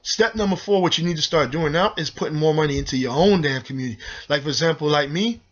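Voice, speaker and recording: A man's voice: a little high, fast talker. A man ranting heatedly to his viewers in a vlog, preachy and repetitive, calling on them directly as y'all. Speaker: video host. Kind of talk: impassioned vlog rant to viewers. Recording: dull lo-fi sound.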